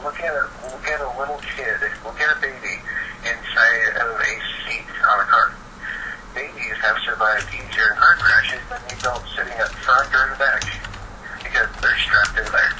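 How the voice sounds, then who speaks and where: A man's voice: medium pitch, steady tone, normal speed. A young man chatting casually and explaining his opinions eagerly. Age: teens or young adult. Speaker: participant in a phone chat. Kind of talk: casual chat. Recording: noisy, distant phone-call recording.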